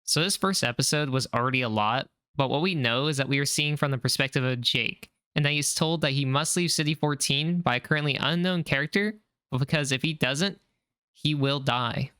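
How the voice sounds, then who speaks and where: A man calmly narrating a scripted recap of a horror video series in a measured, explanatory way. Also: medium pitch, clean tone, no room sound.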